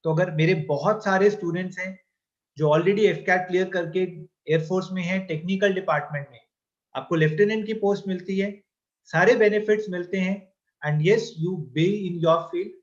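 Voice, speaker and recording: A man's voice: sits high, fairly even intonation, normal speed, muffled recording. A male exam-coaching teacher lecturing a class, mixing Hindi and English and fondly calling his students "beta". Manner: checks in with the class often.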